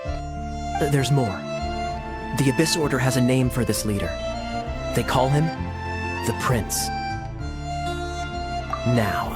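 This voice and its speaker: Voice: medium pitch, close to an everyday voice. An actor voicing a playful, teasing young male bard. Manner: teasing, sly. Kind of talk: game cutscene dub. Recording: game cutscene with music behind.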